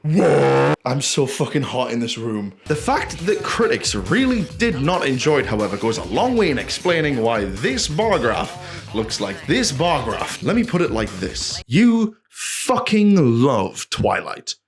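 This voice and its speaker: Male host, scripted comic rant, very lively intonation, exasperated, theatrical, foul-mouthed, backing music. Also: medium pitch, moderate pace. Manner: sweary, exasperated, theatrical emphasis. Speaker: video essay host. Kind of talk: scripted comedic commentary rant.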